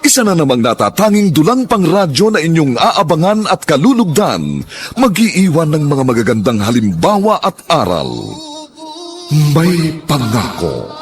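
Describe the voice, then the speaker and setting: A man announcing and narrating a radio drama with sweeping, drawn-out declamation. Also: on the high side, sing-song, soft background music. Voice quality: husky.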